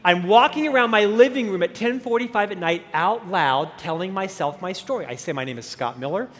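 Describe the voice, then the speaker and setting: A man's voice: high-pitched, expressive, fairly quick, fairly bright tone. A man in his fifties with a stutter, telling his life story at a motivational conference with self-deprecating humor. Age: 50s. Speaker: keynote speaker. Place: large conference hall stage. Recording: long reverb of a conference hall.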